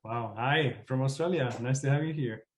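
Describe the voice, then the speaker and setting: A man moderates an online meeting in a mid-pitched voice. He is casual and appreciative, speaking informally as he welcomes and thanks people. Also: normal speed, reverberant. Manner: appreciative, informal, chuckling often. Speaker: host of an online community meeting.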